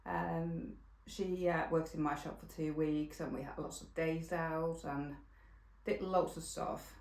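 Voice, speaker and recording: A woman's voice: low-pitched, steady tone, slow pace, a little mellow, echoey room. A woman in her forties with a Finnish accent, hosting a casual knitting podcast and chatting with lots of fillers and restarts. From Finland. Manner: rambling, with frequent fillers and restarts. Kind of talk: casual chat.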